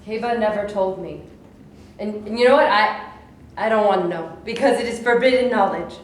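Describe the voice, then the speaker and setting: A woman's voice: medium pitch, moderate pace, close to an everyday voice. A woman in a staged reading playing an anguished, defiant prehistoric woman mourning her king. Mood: solemn, defiant. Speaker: actor. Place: echoey room at a staged reading. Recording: echoey room, distant mic, faint noise.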